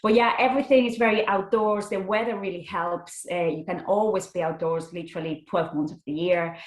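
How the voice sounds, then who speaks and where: A woman's voice: medium pitch, fairly even intonation, brisk. Podcast guest: Spanish-accented woman, young adult, chatty with restarts, roomy echo. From Spain. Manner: chatty, with frequent restarts and fillers. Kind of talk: casual interview chat.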